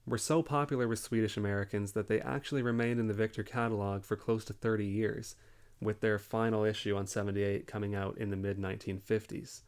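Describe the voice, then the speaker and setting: A man presenting as a collector in an informative explainer style, measured and articulate. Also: on the low side. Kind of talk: informative explainer to camera or over shown records.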